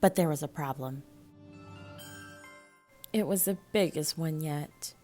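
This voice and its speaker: A woman reading a children's picture book aloud in an animated, measured storytelling manner with dramatic pauses, like a school librarian. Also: low-pitched, soft background music.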